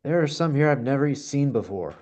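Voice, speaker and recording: A man's voice: medium pitch, animated, brisk, a little mellow, close to an everyday voice. Man playing an eager, helpful employee. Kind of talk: table read acting.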